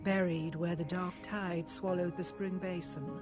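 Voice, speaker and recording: An actress playing a stern, composed high priestess in a video game, speaking in formal, measured, deliberate phrases. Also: female voice, flat delivery, lightly acted. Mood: grave, measured. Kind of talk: scripted video game voice acting. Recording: game music under the voice. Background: music.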